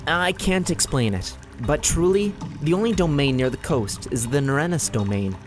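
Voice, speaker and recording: A man's voice: a little high, expressive, moderate pace, close to an everyday voice. A man playing a skeptical, insistent clansman in an audio drama, speaking emphatically. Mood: insistent disbelief.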